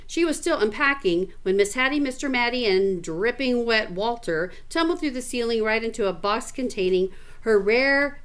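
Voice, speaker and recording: A woman reading a picture book aloud in an animated, expressive way, with chatty asides to the listener. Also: on the high side. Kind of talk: animated storybook read-aloud with casual asides.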